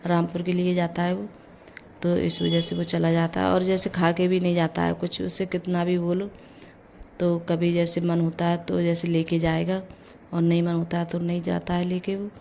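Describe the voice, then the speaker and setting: A middle-aged mother with a hoarse voice from a cold, chatting through a casual home vlog as she cooks breakfast and goes on with her housework, often saying "jaise" between her words. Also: on the low side, completely flat delivery, normal speed, a little mellow, a little hiss or hum. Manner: chatty, leans on the filler "jaise". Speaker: vlogger, mother. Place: home kitchen.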